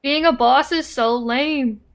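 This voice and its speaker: A woman's voice: sits high, slow. A woman streaming a video game with casual, playful commentary, blunt and full of exclamations. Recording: game music behind the voice. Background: game music.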